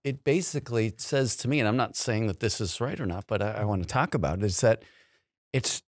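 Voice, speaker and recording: Man, fifties, casual host, gravelly creak, dry close studio sound. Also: fairly low pitch, a little fast, no echo. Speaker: podcast host. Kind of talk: casual conversational interviewing. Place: small indoor podcast studio. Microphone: close studio mic on stand.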